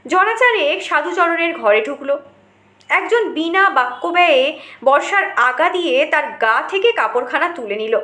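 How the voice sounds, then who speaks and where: A woman's voice: high-pitched, lively intonation, measured pace, bright, forceful tone, echoey room. A woman reading a short story aloud as dramatic scripted narration.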